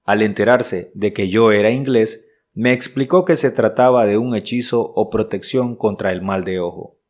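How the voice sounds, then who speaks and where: An actor playing an earnest, uneasy traveller who narrates his diary in measured, literary style for a radio drama. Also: lowish voice, a little mellow, close to an everyday voice.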